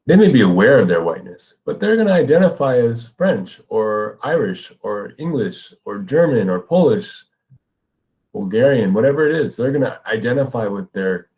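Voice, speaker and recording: A man's voice: fairly low pitch, a little mellow. A man with an American accent lecturing as a teacher, explaining ideas step by step and checking in with listeners. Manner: explanatory, often checks with "right?". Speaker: middle school ethnic studies teacher. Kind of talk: educational lecture with read-aloud passages.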